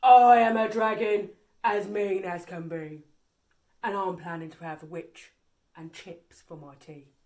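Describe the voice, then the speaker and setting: Lady doing a big mean greedy dragon for a kids story, menacing, dips down lower. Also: fairly even intonation, a little slow, darkish tone, natural voice. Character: mean, greedy male dragon, roaring then sheepish.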